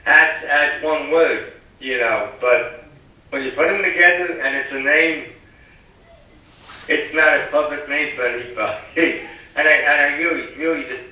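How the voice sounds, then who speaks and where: A man's voice: a little high, bright, forceful tone. A man chatting on amateur radio in an emphatic, opinionated, complaining way. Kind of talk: casual opinionated chat. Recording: very echoey, distant radio link.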